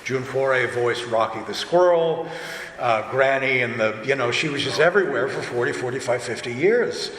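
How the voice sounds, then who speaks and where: Male panelist, mid-range voice, lively anecdotal delivery with acted-out quotes, recorded in a reverberant convention hall. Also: medium pitch, normal speed. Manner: animated storyteller, acts out quoted remarks. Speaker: audio drama director and voice actor on a panel. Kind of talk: casual panel talk with anecdotes. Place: large indoor convention panel room. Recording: echoey convention hall with background noise.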